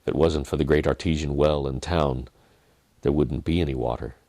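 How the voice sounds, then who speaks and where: Really deep voiced guy narrating a dramatic story, measured pauses, dry close sound, bit gravelly. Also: fairly even intonation, mellow, no room sound. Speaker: storyteller reading own story.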